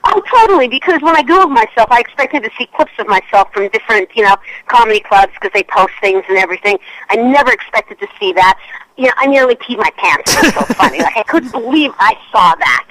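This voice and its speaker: Bright, chatty Canadian woman guest. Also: fairly high pitch, quick pace, rough recording. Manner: chatty, self-mocking, animated. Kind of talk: casual radio interview storytelling.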